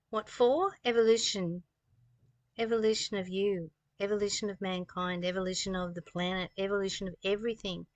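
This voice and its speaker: An older woman in her sixties speaks in a close, dry recording at a middle pitch. She teaches like a spiritual guide, moving between read passages and her own commentary. Her delivery is earnest and preaching, built on repeated phrases and rhetorical questions. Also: dry recording. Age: 60s. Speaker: spiritual teacher reading and commenting on a study text.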